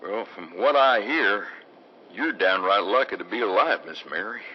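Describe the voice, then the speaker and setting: An actor playing a courteous, folksy country sheriff in a radio drama. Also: male voice, on the low side, lively intonation, moderate pace, close to an everyday voice. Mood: folksy, gentle. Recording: dull old-radio sound, faint noise.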